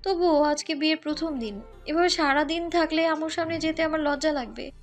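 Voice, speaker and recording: Woman playing a high-voiced shy young bride. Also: a little fast, soft background music. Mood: bashful. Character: shy, flustered young newlywed bride, gently defiant. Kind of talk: romantic audio story acting.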